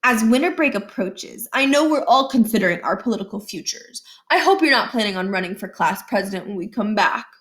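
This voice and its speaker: A woman's voice: mid-range voice, expressive, a little fast, few pauses, fairly bright tone, close to an everyday voice. A smug boy bully played by a woman from a children's book. He carries himself like a slick little politician, pompous and falsely polite, with menace underneath. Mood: smooth, smug. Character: smug schoolboy bully posing as a smooth politician.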